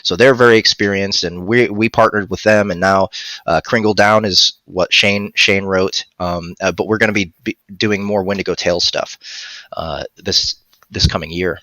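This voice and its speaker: A man's voice: low voice, fairly even intonation, normal speed, bright, forceful tone, no echo. A man in his thirties chatting casually on a live stream as a guest voice actor, talking into a headset mic at home. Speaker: guest, audiobook narrator and voice actor. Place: home room, on a video call.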